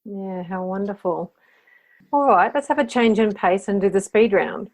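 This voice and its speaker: A woman hosts a casual interview. Her voice is mid-pitched, and she sounds encouraging, affirming her guest as she moves through her questions. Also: normal speed. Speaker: interviewer, host. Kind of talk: casual, upbeat interview chat.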